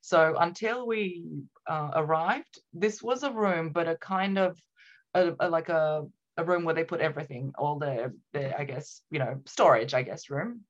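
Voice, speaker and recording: A woman's voice: low-pitched, normal speed, muffled recording. A woman in her thirties with a Romanian accent blended with Australian, talking reflectively in a casual interview, with many fillers, restarts and small laughs. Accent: Romanian mixed with Australian. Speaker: guest. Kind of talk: casual video-call interview.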